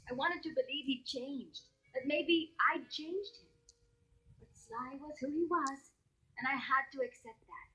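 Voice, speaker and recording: A woman's voice: sits high, pushed and bright, slightly rough, heavily acted, poor audio. A woman playing a tough, proud fox policewoman, delivering a dramatic, resolute monologue for a cartoon game. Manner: dramatic, resolute, hard-boiled. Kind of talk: scripted first-person monologue for a cartoon game.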